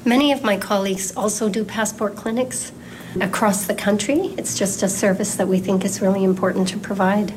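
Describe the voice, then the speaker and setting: A woman's voice: medium pitch, steady tone, brisk, darkish tone, slight background noise, low-quality recording. A woman elected official explaining a community service in a matter-of-fact way. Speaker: elected member. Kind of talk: interview clip.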